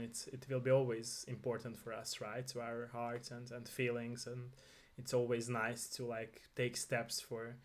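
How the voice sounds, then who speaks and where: Earnest man hosting, quick to agree. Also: medium pitch, a bit bright. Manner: earnest, repeats short agreements. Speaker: interview host. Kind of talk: casual interview chat.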